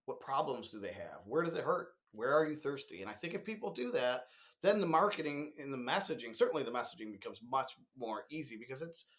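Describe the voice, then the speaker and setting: A man's voice: mid-range voice, lively intonation, fairly quick, fairly bright tone. A man with a mid-range voice chats as co-host of a business podcast. He explains with emphasis and slips into imagined quotes to illustrate his points. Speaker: podcast co-host discussing marketing. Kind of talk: conversational business podcast discussion.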